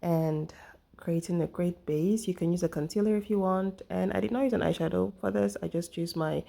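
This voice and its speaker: A young woman talks through her makeup routine in a relaxed, chatty way, full of little fillers. Her voice sits low and sounds husky and gravelly because she is getting over the flu. She comes across as an easygoing beauty vlogger. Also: moderate pace. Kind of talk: casual makeup tutorial talk.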